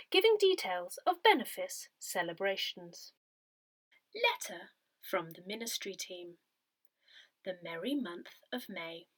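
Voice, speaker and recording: A woman reads a written community newsletter aloud, pronouncing each item with care. Her pitch moves up and down in a lively way across the phrases, sitting in a middle register overall. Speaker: newsletter reader.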